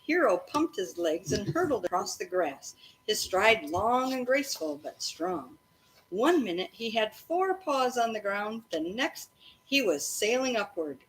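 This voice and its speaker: Lady teacher doing an expressive kids read-aloud, stops to ask stuff, bit of background noise. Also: mid-range voice, low-quality recording. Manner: expressive, pausing to explain and prompt listeners. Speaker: teacher reading aloud to children. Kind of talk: interactive children's read-aloud of a storybook, with questions and asides.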